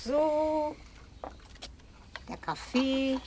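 An actress playing a courteous, discreet household servant in a 1960s German radio play. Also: female voice, sits high, lively intonation, very slow, a little mellow, a little hiss or hum, heavily acted. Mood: deferential.

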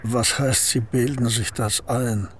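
Actor as a reclusive aging painter, terse and guarded, radio play, faint background noise. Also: male voice, medium pitch, fairly even intonation, takes it slowly, close to an everyday voice. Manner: terse, clipped replies. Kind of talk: radio drama acting.

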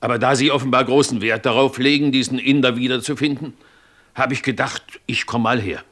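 An actor playing a dutiful, respectful river police constable, giving a measured, deliberate report in a German radio crime drama. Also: male voice, mid-range voice, fairly even intonation, fairly bright tone, close to an everyday voice. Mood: earnest, deferential. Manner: deferential, measured witness report.

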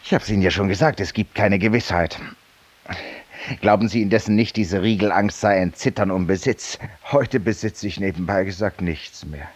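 An actor playing a feverish, weary, self-styled judge-penitent in a 1950s radio drama, confiding ironically and theatrically in a silent listener. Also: male voice, sits low, moderate pace. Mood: uneasy, confiding. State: feverish and tired, with coughs.

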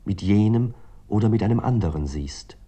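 An actor playing a reflective male writer, speaking a formal, measured literary monologue for a radio drama. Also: fairly low pitch, expressive, dark tone, breathy edge. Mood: contemplative. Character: reflective male writer musing on his work. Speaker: actor reading the novelist-narrator's words.

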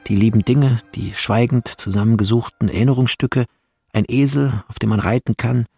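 A man's voice: mid-range voice, fairly even intonation, moderate pace, a little mellow, husky, no room sound, clean studio sound, faint music behind. A man reading screenplay directions as scripted narration in a radio drama.

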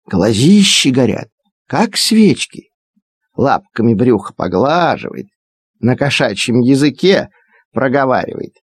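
A man reciting children's verse as a theatrical storyteller, expressive and dramatic. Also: medium pitch, unhurried, husky, no echo. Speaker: storyteller, performer. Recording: digitized cassette audio play. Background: music.

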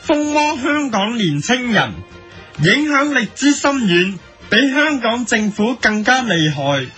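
A man's voice: very high-pitched, very animated, slow pace. A man with a Hong Kong Cantonese accent giving mock-serious editorial commentary as a host, in a theatrical, mock-earnest manner. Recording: faint music bed under voice. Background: music.

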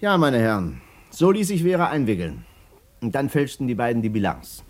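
Lively, blunt, down-to-earth fellow. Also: male voice, medium pitch, moderate pace, no echo. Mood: wry, narrating. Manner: colloquial, blunt, wry. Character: down-to-earth, fatherly-familiar male friend, blunt and reassuring. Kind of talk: radio drama acting.

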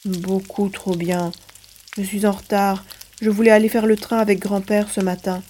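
Woman playing an eager little farm boy, soft-toned. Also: medium pitch, flat delivery, normal speed, a little hiss or hum, natural voice. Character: eager young farm boy, grandson on holiday. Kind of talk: French-language audio drama acting.

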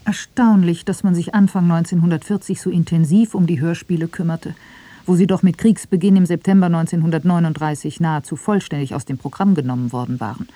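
A woman's voice: lowish voice, steady tone, fairly quick, dark tone, no room sound. A woman reading scripted radio documentary narration in a matter-of-fact manner. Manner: matter-of-fact, clearly phrased.

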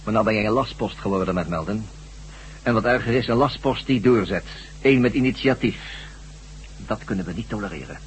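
A man plays a menacing radio-play villain who interrogates with sardonic condescension. His voice is fairly low and deliberate, on a noisy recording with a hum. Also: natural voice. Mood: condescending threat. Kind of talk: Dutch radio drama acting.

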